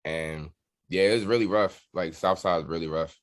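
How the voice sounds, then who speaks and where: A man with a low American voice talks as a guest in a relaxed interview. He tells personal stories candidly, using plenty of slang. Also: moderate pace. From Washington DC area, United States. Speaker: musician guest, drummer. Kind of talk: casual interview storytelling.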